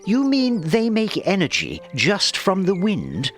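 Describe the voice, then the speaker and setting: Young male axolotl for a storybook man acting: raised pitch, lively swings, curious, eager and easily amazed. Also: slow pace, soft background music, full character voice. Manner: eager, wondering, questioning. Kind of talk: children's storybook character voice.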